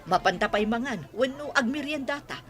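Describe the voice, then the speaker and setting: A woman playing an emphatic, expressive older neighbourhood lady in a radio drama. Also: on the high side, moderate pace. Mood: delighted, chatty. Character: loud, nagging older landlady, later a gushing godmother. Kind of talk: radio drama acting in Ilocano.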